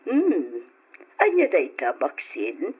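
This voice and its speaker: An aging mother in an old radio play, gentle and fretful, sighing as she speaks. Her voice is husky and unhurried, swinging widely in pitch. The lo-fi sound marks an old radio recording. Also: wildly swooping pitch, full character voice. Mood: worried.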